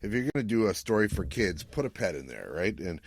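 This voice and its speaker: A man co-hosting a podcast, chatting casually and retelling a story in a jokey, animated way with acted-out quotes. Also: fairly low pitch, fairly quick, no echo. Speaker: podcast co-host. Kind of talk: casual recap chat.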